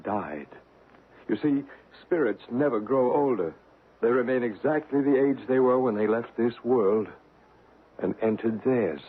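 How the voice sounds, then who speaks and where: An American man playing a calm, methodical psychic investigator in a radio drama, matter-of-fact and explanatory. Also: medium pitch, measured pace, breathy edge. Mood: calm, probing. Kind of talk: 1970s radio drama acting. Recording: tinny, slightly noisy old radio.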